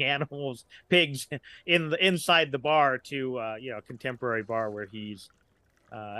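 Man, middle-aged, bright forward voice, dry close sound, rambling casual podcast guest. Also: medium pitch, no echo. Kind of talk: casual discussion.

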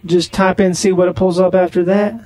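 A man making a deadpan prank phone call, rambling naively and slow to catch on. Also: sits high, dry recording, soft background music. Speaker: prank caller on a comedy podcast.

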